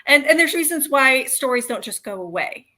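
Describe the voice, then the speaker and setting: A woman's voice: sits high, animated, moderate pace, bright, forceful tone. A woman hosting a podcast interview, chatting casually in an enthusiastic, informal way. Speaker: podcast host, author.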